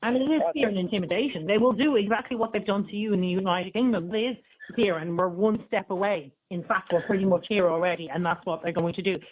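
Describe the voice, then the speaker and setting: An Irish woman hosts an opinionated radio interview in a medium-pitched voice. She hammers her points home with emphasis. The audio is a little muffled and lo-fi. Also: quick pace, husky. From Ireland. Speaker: radio show host, interviewer. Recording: slightly dull, lo-fi radio audio.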